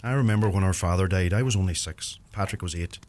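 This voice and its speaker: An actor playing an earnest, plain-spoken young Irish farmer with a Donegal accent, torn by guilt, in a radio play. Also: male voice, deep voice, fast, darkish tone, close to an everyday voice. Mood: wistful, reflective.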